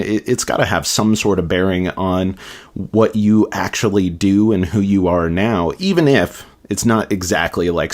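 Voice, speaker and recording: A man with a very deep voice hosting a podcast interview. He rambles in long, eager stretches and reacts warmly to his guest. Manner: rambling, enthusiastic, talks at length. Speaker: podcast host. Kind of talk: conversational interview.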